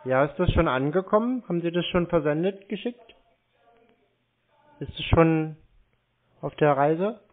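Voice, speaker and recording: A man's voice: a little high, expressive, measured pace, pauses often. Rambling, naive-sounding man on the phone. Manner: hesitant, feigned naive, rambling. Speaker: prank caller posing as a customer. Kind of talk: phone prank conversation. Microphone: telephone. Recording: muffled narrow phone line.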